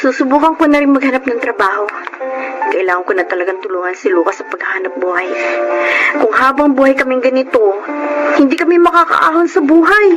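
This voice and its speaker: A woman's voice: high-pitched, fast talker, loud music behind the voice, natural voice, poor audio. A woman playing an earnest, worried young wife and mother, respectful and plaintive, in a Filipino radio drama. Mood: worried resolve.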